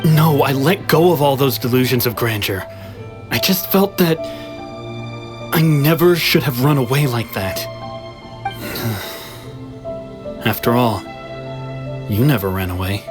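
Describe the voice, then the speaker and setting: Wistful young runaway, music behind. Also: male voice, mid-range voice, animated, slow pace, long pauses. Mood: resigned, earnest. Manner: reflective, hesitant, earnest. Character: wistful young male runaway returned home. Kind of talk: video game voice acting.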